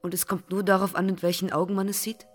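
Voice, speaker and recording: An actress plays an insistent woman journalist in a radio play. Her voice sits at a middle pitch and carries a probing, questioning manner. Music is faint behind her. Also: little pitch movement, quick pace, natural voice. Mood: sober. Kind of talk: German radio play acting.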